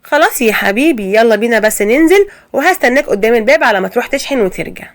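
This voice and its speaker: A woman's voice: on the high side, lively intonation, racing, close to an everyday voice. A woman with an Egyptian accent voicing a ruthless, scheming mother-in-law who sweet-talks and pleads. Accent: Egyptian Arabic. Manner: sweet-talking, then pleading.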